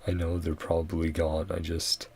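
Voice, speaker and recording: A man giving quiet, casual reaction commentary as the host of a gaming video, in a low voice. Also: completely flat delivery, moderate pace, slightly rough.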